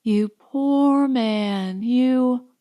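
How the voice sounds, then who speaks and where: Woman playing a high-pitched, gushing, haughty young socialite. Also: very slow, dark tone, dry recording, studio quality. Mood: condescending. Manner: gushing, affected, condescending. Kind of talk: audiobook character dialogue.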